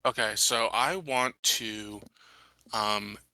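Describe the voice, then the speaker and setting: A man playing a tabletop game, chatting casually and thinking aloud in a tentative, halting way. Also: fairly low pitch, bright, forceful tone, dry recording, rough recording.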